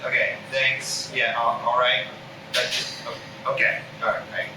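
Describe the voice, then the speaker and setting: A man's voice: medium pitch, steady tone, measured pace, noisy background, natural voice. A man playing an awkward, concerned young dad with a hesitant way of talking in a comedy table read. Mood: uncomfortable. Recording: echoey room, distant mic, loud music.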